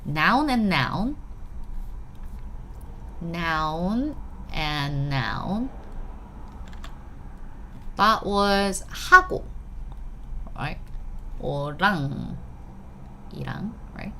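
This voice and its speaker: A woman's voice: lowish voice, very animated, drawn-out words, pauses often, sharp tone, slight background noise. A woman from Korea with a Korean accent, teaching a live online language lesson in a chatty manner, often checking in with her students. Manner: switches between English and Korean.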